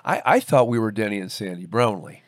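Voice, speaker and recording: A man's voice: fairly low pitch, lively intonation, fairly quick, no echo, studio quality. A man co-hosting a podcast in a playful, bantering way. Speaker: podcast co-host. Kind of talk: scripted introduction and casual joking chat.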